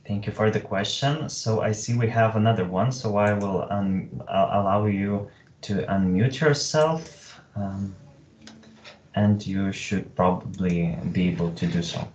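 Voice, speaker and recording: Brief: lower-voiced man, seminar moderator, measured delivery, roomy and off-mic. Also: a little mellow, recorded from a distance, muffled recording. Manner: measured, some stumbling. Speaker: career center moderator.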